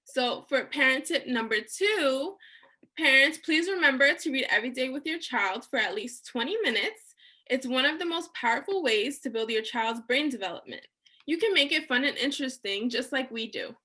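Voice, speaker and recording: A woman hosting a story time for children, speaking in an animated, encouraging way and asking the young listeners questions. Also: sits high, normal speed.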